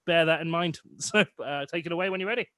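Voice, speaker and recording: A man's voice: fairly high pitch, fast, fairly bright tone, clear, resonant tone, dry recording. A man hosting casually, with a hesitant, rambling way of introducing things. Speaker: host.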